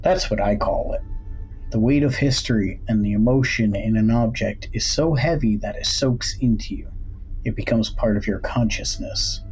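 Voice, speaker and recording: A man voicing an enigmatic, knowing curiosity shop owner who speaks in a measured, cryptic way. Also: on the low side, a little mellow, lo-fi sound, slight background noise, close to an everyday voice. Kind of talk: audiobook character dialogue.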